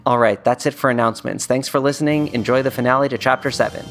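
A man with a mid-pitched voice delivers scripted podcast announcements. Light music plays behind him as he addresses listeners. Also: quick pace, fairly bright tone.

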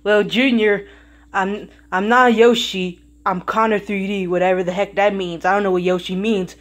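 A woman voicing a calm, unbothered man toy in a homemade skit, speaking flat and dry. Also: mid-range voice, moderate pace, close to an everyday voice. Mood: deadpan. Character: calm low-voiced male YouTuber toy.

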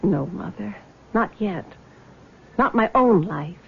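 An actress playing a grieving, troubled young daughter in a vintage radio drama, earnest and hesitant. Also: female voice, mid-range voice, animated, slow pace, gravelly, breathy edge, exaggerated voice. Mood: earnest, resolved. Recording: dull, noisy 1970s radio recording.

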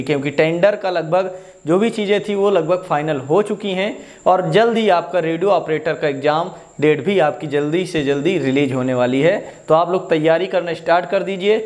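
A young man explaining exam updates like a coaching teacher, repeating points and adding check-in questions. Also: high voice. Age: young adult. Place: small indoor room, standing at a whiteboard. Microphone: lapel mic.

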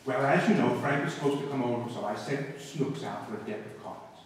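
A man's voice: medium pitch, fairly quick, far from the mic, slight background noise, close to an everyday voice. A man playing a pompous, exasperated father in old-time radio comedy, with formal wording. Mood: worried, wry. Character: exasperated, pompous father of a naughty little girl. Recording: echoey hall stage, captured from afar.

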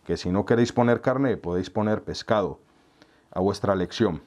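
Calm Colombian-born man, lowish voice. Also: normal speed. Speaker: cooking tutorial host. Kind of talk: relaxed recipe explanation. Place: home kitchen, indoors.

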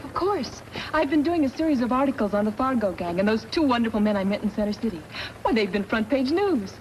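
A woman's voice: a little high, animated, quick pace, natural voice. A woman playing an eager, kind young newspaper reporter in a 1950s radio western, earnest and gushing. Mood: chatty, eager. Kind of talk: 1950s radio drama acting. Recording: noisy 1950s radio recording.

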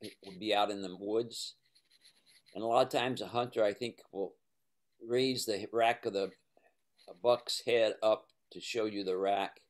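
A man participant in an online art discussion, speaking in a slow, measured way over a video call. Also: on the low side, steady tone.

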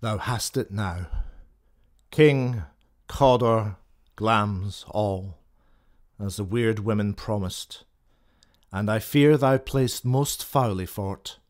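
A man's voice: on the low side, slow, long pauses. A man playing a wary, dutiful noble general in a classical Shakespearean radio drama. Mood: suspicious musing.

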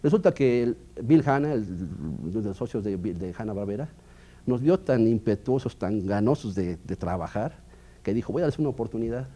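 Male animator, fifties, Mexican accent, very mellow tone, recounting memories indoors. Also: fairly low pitch, expressive, brisk. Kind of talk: anecdotal interview. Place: wood-panelled room indoors. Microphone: lapel.

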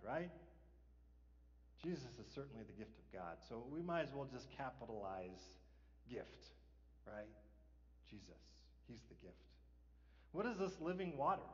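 A middle-aged man preaches from the stage of a large church, talking to the congregation in a casual, conversational way. His voice carries a long reverb from the sanctuary and sounds somewhat dull and lo-fi. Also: mid-range voice. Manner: conversational preaching, asks rhetorical "right?", chuckles. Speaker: pastor. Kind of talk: sermon.

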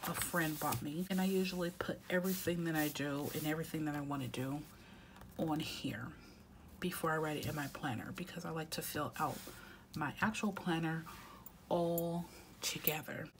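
A woman's voice: sits low, fairly even intonation, normal speed, background noise. A woman with a head cold, congested, chatting casually and often half-whispering as she fills out her weekly planner at home. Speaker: planner vlogger. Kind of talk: casual chat while planning.

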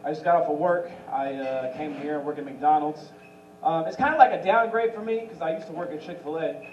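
A man's voice: fairly high pitch, fairly quick, dull audio, a little hiss or hum. A young man doing stand-up at an open mic on a small club stage, into a handheld mic, who slips into impressions of famous voices. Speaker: stand-up comedian. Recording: roomy club audio from a far camera. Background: audience laughter.

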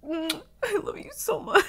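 A woman playing an anxious, tender werewolf girlfriend in an intimate ASMR roleplay, stammering and hesitant in a breathy half-whisper. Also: very high voice, sharp tone, pitched above the performer's own voice, full character voice. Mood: tearful, relieved. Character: anxious, tender werewolf girlfriend, scared of hurting her partner.

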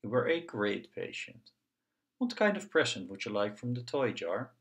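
A man voicing a friendly puppet doctor in a children's storybook, gentle and encouraging with a singsong delivery. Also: mid-range voice, big pitch swings, moderate pace. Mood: reassuring.

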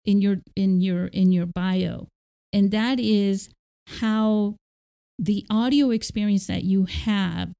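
A woman's voice: medium pitch, fairly even intonation, slow pace, creaky, mellow, clear, resonant tone. A woman hosting a podcast interview, enthusiastic and often restarting her sentences. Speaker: podcast host. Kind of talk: casual interview chat.

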